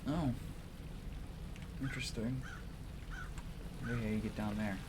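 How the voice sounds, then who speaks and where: A man's voice: medium pitch, steady tone, a little slow, heavy background noise. A man doing livestream game commentary, switching between casual asides and theatrical reading of on-screen story text. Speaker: livestream gamer.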